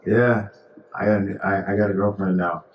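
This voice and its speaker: Deep-voiced, teasing young man. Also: steady tone, moderate pace, reverberant, recorded from a distance, slight background noise. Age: young adult. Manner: laid-back, teasing, short replies. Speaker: podcast co-host. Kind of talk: casual chat.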